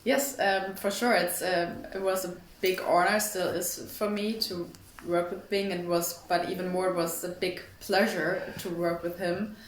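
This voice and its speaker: A woman's voice: fairly low pitch, flat delivery, a little slow. A young woman with a German accent giving interview answers, thoughtful and searching for words. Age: young adult. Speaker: actress. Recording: echoey, distant mic, background noise.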